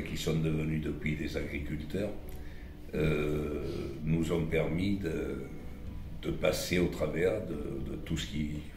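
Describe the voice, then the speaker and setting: Older Italian-born man, about 80; very deep voice, halting recollection; roomy sound with background music. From Italy. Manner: slow, halting, with frequent restarts. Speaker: interviewee. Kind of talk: reminiscing interview.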